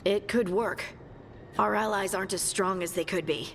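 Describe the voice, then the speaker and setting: An actress playing a practical, steady woman scout in a fantasy video game, with music behind her. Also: mid-range voice, normal speed, a little hiss or hum, natural voice. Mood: cautiously hopeful.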